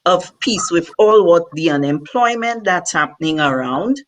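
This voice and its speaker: A woman's voice: lowish voice, expressive, normal speed. A woman speaker on a video call, talking in a conversational way with frequent 'you know'. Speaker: summit speaker.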